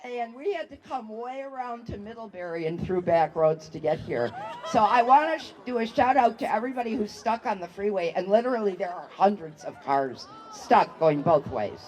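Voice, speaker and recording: A woman human rights activist giving a slow, solemn rally speech into a microphone outdoors, building to shouted calls to the crowd. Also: mid-range voice, expressive, noisy background. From United States. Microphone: public address microphone.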